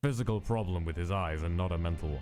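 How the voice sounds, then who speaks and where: Low-pitched man playing detached monster scientist, comic dub, cold log-entry delivery, prominent music. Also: close to an everyday voice. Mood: clinical, measured. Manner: clinical, measured, dismissive. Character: cold, detached male monster mad scientist, a reluctant creator. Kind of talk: fan comic dub narration of lab log entries.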